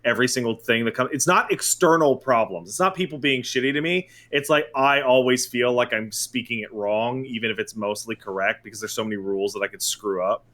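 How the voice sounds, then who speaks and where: A man co-hosting a podcast, chatting casually in a quick, self-deprecating, exasperated way. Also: medium pitch, a bit bright. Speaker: podcast co-host. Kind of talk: casual chat.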